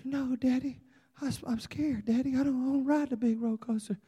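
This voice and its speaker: A man's voice: extremely high pitch, fairly even intonation, fairly quick, warm tone, breathy edge, voice pushed up, heavily acted. A man acting out a small boy who pleads with his daddy, stammering, as part of a told story. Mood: scared, pleading. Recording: long hall reverb.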